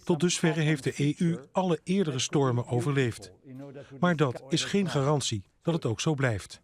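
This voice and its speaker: A man's voice: on the high side, expressive, moderate pace. A Dutch man reading scripted news narration as a reporter.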